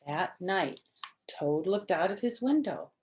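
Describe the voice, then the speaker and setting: A woman's voice: fairly low pitch, lively intonation, slow, a little mellow, a little hiss or hum. A woman reading a children's story aloud expressively, with chatty asides, questions and simple explanations for young listeners.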